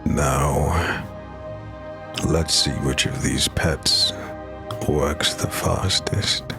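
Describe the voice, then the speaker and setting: A man voicing a gravelly, slow villain. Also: very deep voice, steady tone, over music, exaggerated voice. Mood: menacing. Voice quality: slow, gravelly, hushed delivery. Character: sinister male villain captor, cold and menacing. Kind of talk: audio drama acting.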